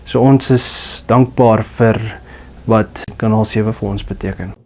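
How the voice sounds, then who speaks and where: A man from Namibia giving an earnest, reflective personal testimony as a radio listener, pausing often to find his words. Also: on the low side, steady tone, a little mellow. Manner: earnest, reflective, with pauses and fillers.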